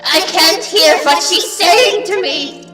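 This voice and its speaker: A performer voicing a gleefully insane, pompous captain in a theatrical, drawn-out comic dub style. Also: extremely high pitch, heavily acted. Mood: irritated. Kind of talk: comic dub character acting. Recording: long reverb, loud music behind. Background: music.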